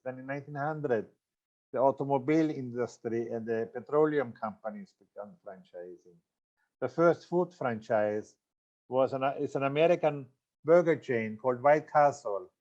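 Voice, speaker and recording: A man's voice: medium pitch, a little mellow, muffled recording. Older Austrian man giving a seminar. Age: 60s. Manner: loose, halting delivery with many fillers and self-corrections. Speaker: franchise consultant giving a seminar. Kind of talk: presentation seminar talk.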